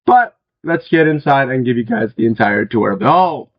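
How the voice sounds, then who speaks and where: A young man with a hoarse, worn-out voice, chatting casually as he walks viewers through a house in a video game, with many fillers and throat clearings. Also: medium pitch, expressive, moderate pace, dull audio. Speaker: gaming video host. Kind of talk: casual game walkthrough commentary.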